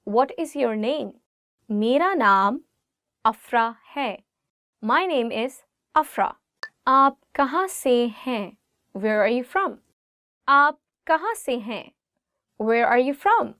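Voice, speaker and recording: A woman with a Pakistani accent and native Urdu teaches phrases as a lesson host. Her voice is on the high side, clean and resonant. She pronounces examples deliberately, in a dry recording with very little room sound. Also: lively intonation, fairly bright tone, dry recording. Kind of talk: language lesson, phrases given in two languages.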